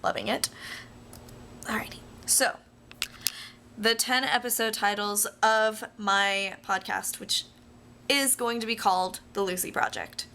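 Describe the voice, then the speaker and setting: A woman's voice: mid-range voice, steady tone, a little slow, long pauses, pushed and bright, slight background noise. A young American woman talking casually to viewers like a video diary, rambling in a playful, self-deprecating way. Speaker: vlogger.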